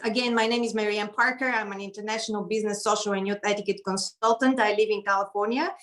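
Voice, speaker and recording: A middle-aged woman with a Bulgarian accent introduces herself as a guest speaker on a video call, in a slightly halting way. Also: medium pitch, fairly even intonation, a bit bright. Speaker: guest keynote speaker and etiquette consultant. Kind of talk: informal self-introduction speech.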